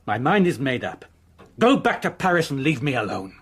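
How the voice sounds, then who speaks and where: An actor playing a proud, protective married gentleman in a radio drama, curt and formal, with a husky voice. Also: medium pitch, big pitch swings, normal speed. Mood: bitter grief. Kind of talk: British radio drama acting.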